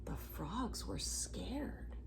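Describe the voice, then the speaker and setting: A woman reading a storybook aloud expressively to children, pausing for asides and questions to her young listeners. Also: mid-range voice, in a whisper. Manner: animated, addresses children with questions. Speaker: children's read-aloud reader.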